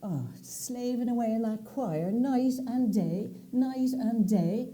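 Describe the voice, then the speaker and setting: A woman's voice: fairly high pitch, slow, background noise. A woman playing an indignant old aunt. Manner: grumbling, indignant. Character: indignant elderly aunt, outspoken hostess.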